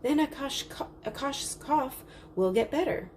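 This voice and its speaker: An excitable young storybook girl, acted out by a woman reading aloud. She is eager and urgent, pitched up from the narration and gushing breathlessly. Faint noise sits behind the voice. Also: measured pace. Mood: eager, pleading.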